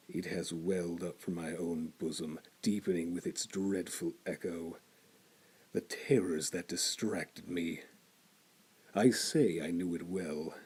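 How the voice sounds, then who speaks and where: A man giving a dramatic reading of a horror story, moving between hushed whispers and loud intensity. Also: sits low, slightly rough.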